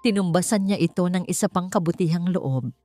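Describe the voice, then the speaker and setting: A woman narrator plays a dignified, wounded young Filipino man in a dramatic story. The voice is low and dark-toned, speaking with solemn, deliberate earnestness over faint music. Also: natural voice. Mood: earnest, reflective. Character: dignified young male architect, wounded and devoted grandson.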